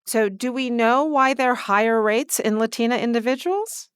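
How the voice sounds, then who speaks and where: A woman's voice: fairly high pitch, normal speed, dry recording, clean studio sound. A woman hosting an interview podcast, asking structured questions and recapping the answers plainly. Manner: clear, structured, recapping what was said.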